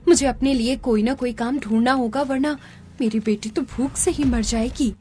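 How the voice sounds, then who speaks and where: A woman voicing a poor, hardworking, devoted mother in an animated story, earnest and pleading. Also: fairly high pitch, moderate pace, slight background noise, close to an everyday voice. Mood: worried, resolute.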